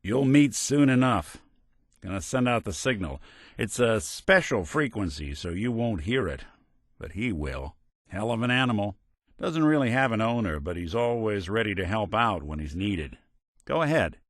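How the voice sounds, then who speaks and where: A world-weary android private eye with a low American voice that rises and falls with lively swings. He is gruff but decent, dryly sardonic, and speaks in old-time hard-boiled gumshoe style for a video game, recorded close and dry. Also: moderate pace, dry recording. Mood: businesslike. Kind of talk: noir hard-boiled detective game dialogue.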